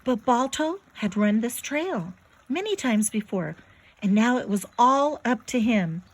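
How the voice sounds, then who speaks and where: A woman reading a story aloud to young children, with expressive, dramatic and emphatic delivery. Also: a little high, unhurried.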